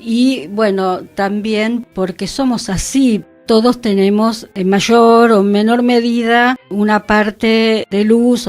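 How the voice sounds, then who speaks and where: Woman, medium pitch, earnest conversational radio commentary, long flowing sentences. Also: a little mellow. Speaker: radio talk participant. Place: radio studio.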